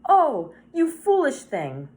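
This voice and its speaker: Woman reading as a gentle, sorrowful old woman. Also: on the low side, expressive, moderate pace, lightly acted. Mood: grieving. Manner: gentle, soft-spoken. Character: kindly sorrowful old woman, tender toward a child. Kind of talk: children's novel read-aloud.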